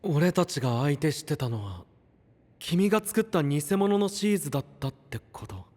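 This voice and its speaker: A man's voice: on the high side, expressive, normal speed, a little mellow. A man playing a caring, sensible young companion in a Japanese anime-style voice drama, youthful and earnest. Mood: puzzled.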